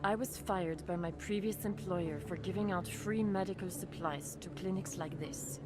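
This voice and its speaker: An actress playing an anxious, gentle clinic doctor in a video game, speaking in an earnest, worried way. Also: female voice, on the low side, moderate pace, noisy background, lightly acted.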